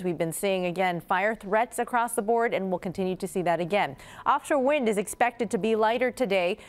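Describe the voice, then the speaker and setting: A woman with an American accent giving a conversational, loosely phrased television weather forecast. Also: mid-range voice.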